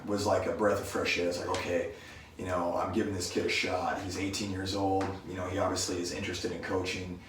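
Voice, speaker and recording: A man hosting a casual chat, speaking in a halting, reflective way. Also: low voice. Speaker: gym owner, podcast host. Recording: echoey room, distant mic, faint noise.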